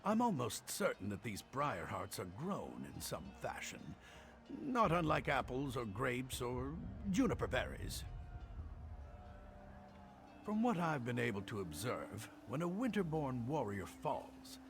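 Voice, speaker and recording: A man's voice: medium pitch, big pitch swings, measured pace, vocal fry, soft background music. A man voicing an anxious, bookish alchemist in a video game, earnest and scholarly but cowardly. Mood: scholarly, urgent.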